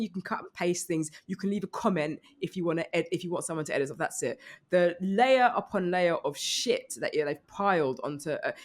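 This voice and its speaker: A woman guesting on a comedy podcast, ranting emphatically and with exasperation, hammering points by repetition. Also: medium pitch. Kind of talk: comic rant and banter.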